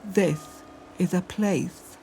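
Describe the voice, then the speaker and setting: A woman with a fairly low, mellow voice speaks poetic reflections. Her phrasing is deliberate and broken into short, measured fragments. Faint ambient noise sits behind her. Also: rough recording. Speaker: poet. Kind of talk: poetic spoken reflection in a documentary audio piece.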